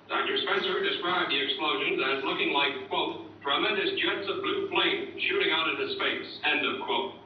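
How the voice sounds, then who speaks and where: A man performs a sober 1960s American radio newscast. His delivery is measured and formal, in a slightly high voice. The sound is muffled and distant, with heavy echo. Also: slight background noise, close to an everyday voice. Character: sober male newscaster reading late-night bulletin. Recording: muffled archive radio echoing in large hall.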